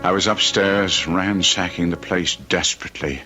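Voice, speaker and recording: A deep, forceful male voice plays a desperate killer in an old-time radio drama. He broods and confesses with theatrical intensity. Music sits behind the voice. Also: moderate pace, highs cut off. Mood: haunted, tense. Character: tormented murderous man, desperate, narrating his own crime. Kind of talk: old-time radio drama acting, first-person narration.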